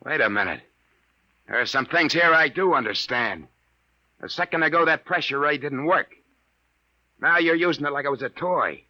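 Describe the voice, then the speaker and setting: Brash, rattled private eye, sharp-voiced. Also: male voice, fairly high pitch, normal speed, long pauses. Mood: suspicious. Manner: slangy, blunt, excitable. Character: brash, rattled male private detective held captive. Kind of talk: 1950s radio drama acting. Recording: muffled vintage 1950s radio recording.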